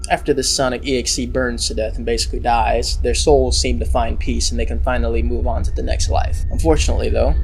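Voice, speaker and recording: A man's voice: medium pitch, gravelly, a little mellow. A man giving a casual spoken recap of a horror video series, dropping sarcastic remarks along the way. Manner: wry, sarcastic asides. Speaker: video commentator. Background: background music.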